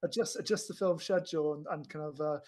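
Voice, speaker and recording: Halting man with a slightly high voice. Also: a little mellow. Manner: halting, rambling delivery with repeated starts. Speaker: film podcast co-host. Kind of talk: casual film discussion.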